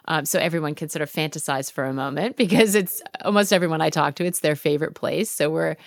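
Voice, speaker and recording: A woman's voice: low voice, fast, gravelly, no echo, studio quality. A middle-aged woman hosting an interview show in a conversational style.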